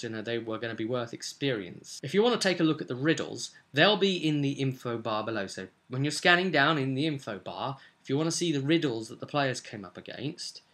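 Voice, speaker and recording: A man's voice: medium pitch, lively intonation, fairly quick, few pauses, pushed and bright. A young man giving a casual, unscripted vlog recap as a tabletop game master, explaining in a loose, rambling way. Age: young adult. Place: small furnished room at home, indoors.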